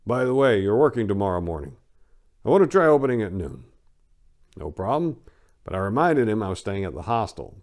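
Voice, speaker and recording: A man from the United States narrates a first-person memoir as a dramatic reading. His voice sits on the low side. He tells it in a gritty, irreverent way, like someone recounting his own rough past. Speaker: audiobook narrator.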